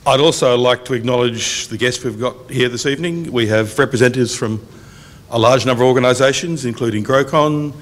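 A middle-aged man opening a university public event from a lectern in a function room, speaking in a measured, formal way and reading out long lists evenly. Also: medium pitch, a bit bright. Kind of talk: formal welcome speech at a lectern. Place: university function room, indoors, medium size. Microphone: lectern microphone on a boom stand.

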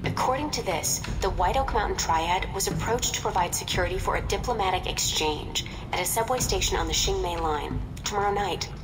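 An actress playing a composed, efficient intelligence handler in a video game, businesslike and matter-of-fact. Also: female voice, mid-range voice, a little fast, hardly any pauses, far from the mic, music in the background, close to an everyday voice, poor audio.

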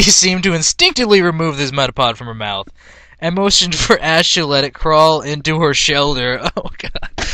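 A man's voice: a little high, pushed and bright, no echo. A man reading a story aloud in a mock-dramatic, amused way, as an amateur reader who is prone to cracking up. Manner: breaks into laughter, amused. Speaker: amateur reader of fan fiction.

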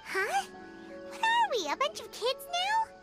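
A tiny fairy girl from a video game, extremely high and squeaky. She is whiny, excitable and easily spooked, swinging up and down over prominent background music. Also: measured pace, heavily acted. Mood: curious, uneasy. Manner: talks of herself in third person, whiny.

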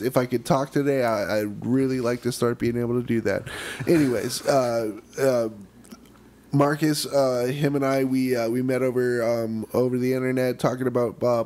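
A man's voice: medium pitch, darkish tone. A man hosting a casual sports podcast, chatting informally. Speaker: podcast host.

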